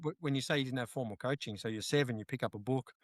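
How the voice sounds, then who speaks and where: Male interviewer, medium pitch, conversational questions, dry recording. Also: fast, no room sound. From Australia.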